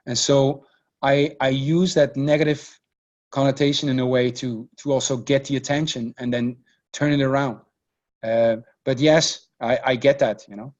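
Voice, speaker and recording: A man whose first language is Dutch, speaking English as a podcast guest in a relaxed, searching way, often restarting his phrases. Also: mid-range voice, highs cut off. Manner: hesitant, many restarts and repeated words. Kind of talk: casual conversational interview.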